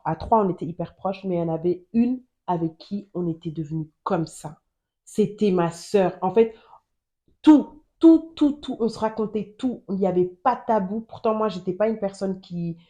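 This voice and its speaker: Earnest woman sharing faith, mellow low voice. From Germany. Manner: earnest, repeats phrases for emphasis. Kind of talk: personal testimony and Bible teaching.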